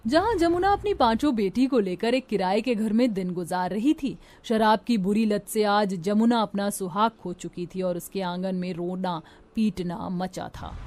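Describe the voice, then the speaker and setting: A woman's voice: mid-range voice, moderate pace, hardly any pauses, darkish tone. A woman narrating a scripted dramatic story as a storyteller.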